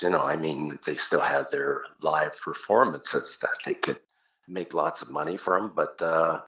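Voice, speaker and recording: A man's voice: deep voice, fairly bright tone, muffled recording. An older man with a Canadian accent, a singer casually reminiscing as an interview guest.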